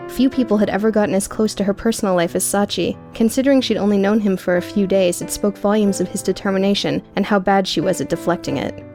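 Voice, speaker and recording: A woman's voice: medium pitch, quick pace, few pauses, darkish tone, soft background music. A woman reading dramatic scripted narration as an audiobook narrator.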